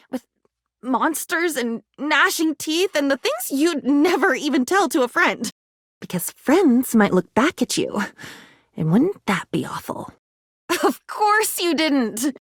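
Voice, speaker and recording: A woman's voice: sits high, no room sound, studio quality. An actress voicing a living diary in a video game, a wounded, sardonic woman with a theatrical, brooding manner. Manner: theatrical, sardonic, brooding, swinging between muttering and outbursts. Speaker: video game character voice actor. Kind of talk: dramatic video game voice acting.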